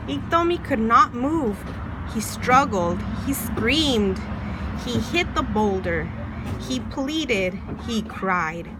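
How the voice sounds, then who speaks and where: A woman reading a children's folktale aloud in an expressive storytelling way, putting questions to the listener. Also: on the high side, takes it slowly, background noise. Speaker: storybook reader, a mother.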